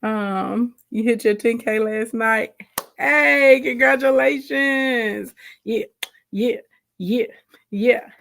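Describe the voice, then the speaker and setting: A woman with a slightly raspy voice, hoarse from a sore throat, hosts a live stream as a business coach. She talks in a loose, chatty way and breaks off mid-sentence to greet viewers by name. She sounds like she is speaking into a phone or camera. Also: fairly high pitch, slow, a bit bright. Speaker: livestream host and business coach. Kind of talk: casual livestream chat.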